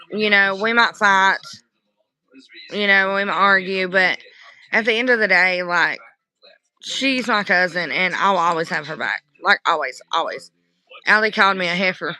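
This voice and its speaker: A woman livestreaming casual chat to her viewers, hoarse from screaming, rambling and apologetic. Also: mid-range voice, unhurried, long pauses, pushed and bright, rough recording.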